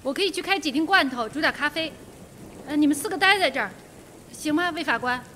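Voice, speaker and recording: A woman's voice: sits high, expressive, fairly quick, bright, forceful tone, a little hiss or hum. A woman playing an anxious but strong-willed young lady in a Mandarin radio mystery, tense and pointed.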